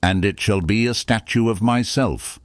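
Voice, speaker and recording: A man's voice: fairly low pitch, normal speed, no room sound, pitched above the performer's own voice, close to an everyday voice. A man voicing a pompous, self-important town mayor in a storybook, in exclamatory phrases.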